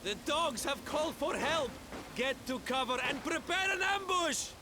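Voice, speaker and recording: An actor playing an urgent partisan fighter in a military game, reporting tersely. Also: male voice, extremely high pitch, animated, moderate pace, over music. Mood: urgent, alarmed. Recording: loud vehicle and battle noise.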